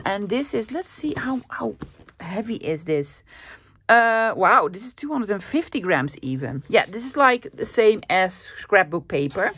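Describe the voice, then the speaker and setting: A woman from the Netherlands with a Dutch accent, hosting a casual, chatty paper craft tutorial at her desk and often pausing with fillers. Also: medium pitch, fairly bright tone, slight background noise. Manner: chatty, self-deprecating, with frequent fillers. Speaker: craft channel host.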